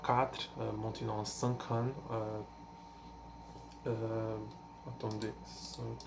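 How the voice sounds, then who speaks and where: A young man from Brazil speaks French with a Brazilian Portuguese accent at a slow, unhurried pace. His voice carries a gravelly edge, and he keeps stopping to hunt for words and restart his sentences. A faint hiss of noise sits under the recording. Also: mid-range voice, a little mellow. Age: 20s. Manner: halting, searches for words with many fillers and restarts. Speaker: language learner vlogger. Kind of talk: casual self-introduction.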